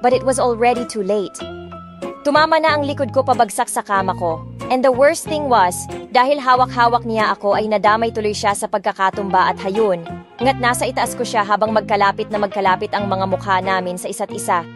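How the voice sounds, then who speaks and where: A woman's voice: medium pitch, a little fast, music in the background. A woman from the Philippines reading a first-person romance audiobook, dramatic and indignant, switching between Tagalog and English. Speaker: audiobook narrator.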